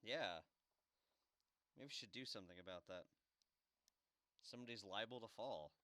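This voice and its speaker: A man's voice: fairly low pitch, moderate pace, pushed and bright, no room sound. A man chatting casually as he plays a game, with a sarcastic, wry manner. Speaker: game player and commentator. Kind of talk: casual let's-play banter.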